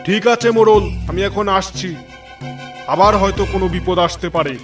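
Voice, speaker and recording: Man voicing a calm holy man. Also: high voice, brisk. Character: wise holy man who banishes ghosts. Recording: distant voice with music behind.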